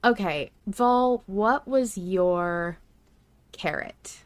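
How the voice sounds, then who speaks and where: A woman hosting a casual fan podcast, chatty and reflective, quick to laugh. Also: medium pitch, dry recording. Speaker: podcast host.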